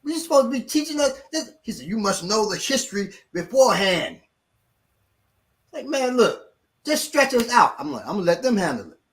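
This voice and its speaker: Middle-aged male instructor, slightly high voice, lively casual storyteller who mimics others. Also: normal speed. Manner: animated, acts out quotes of others. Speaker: martial arts instructor.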